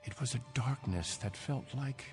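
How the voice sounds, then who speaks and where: An actor playing an aging, wistful spaceship captain, speaking deliberately in a dramatic radio-play style. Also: male voice, mid-range voice, a little mellow, close to an everyday voice. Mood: wistful, nostalgic. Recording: long reverb, music underneath.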